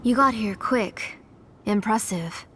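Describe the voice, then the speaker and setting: An actress playing a grieving, obsessive middle-aged mother turned villain in a video game, speaking solemnly and intensely with breathy whispers. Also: a little high, slow, sharp tone, slight background noise. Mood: cold resolve. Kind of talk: dramatic video game voice acting. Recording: game audio with background music. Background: game music.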